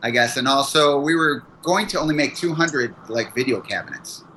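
A man tells a story as a guest in a podcast interview, halting often with fillers. His tone is bright and forceful at an ordinary pitch, with a faint hiss behind. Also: animated, low-quality recording. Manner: hesitant, many fillers. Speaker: guest, martial arts teacher. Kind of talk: casual interview.

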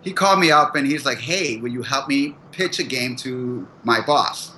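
Casting note: male guest, bright and forceful tone, halting delivery with lots of pauses, faint hiss. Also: mid-range voice, rough recording. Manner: hesitant, many fillers. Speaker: guest, martial arts teacher. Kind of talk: casual interview.

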